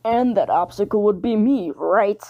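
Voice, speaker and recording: A woman's voice: mid-range voice, a little slow, no echo, highs cut off, lightly acted. A woman voicing a stern old male cook with a wooden leg who speaks bluntly.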